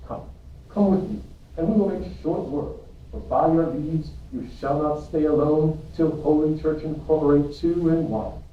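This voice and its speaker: A man's voice: fairly high pitch, fairly even intonation, moderate pace, close to an everyday voice. A man playing a kindly, moralising friar mentor in an amateur Shakespeare reading. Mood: solemn. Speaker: English teacher. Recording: roomy, distant, dull, faint noise.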